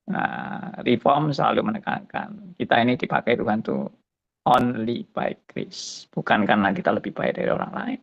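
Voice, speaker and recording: A pastor in his seventies giving a slow, musing spoken testimony, often tagging his phrases with a soft "ya". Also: mid-range voice, creaky, dull audio. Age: 70s.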